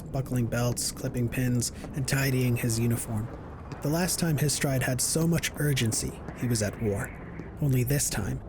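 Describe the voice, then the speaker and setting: A man's voice: medium pitch, noisy background. A man reading scripted narration for a science fiction audio drama. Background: music.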